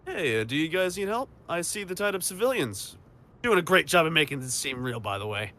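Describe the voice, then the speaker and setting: A man's voice: on the high side, very animated, a little fast, bright, forceful tone. An actor playing a cocky young male supervillain with a casual, theatrical manner in an audio drama. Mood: playful swagger.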